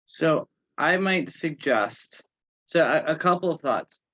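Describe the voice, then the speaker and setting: A man making measured, tentative contributions to an informal technical teleconference. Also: a little high, lively intonation, a bit bright. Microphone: teleconference connection. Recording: dull lo-fi conference call.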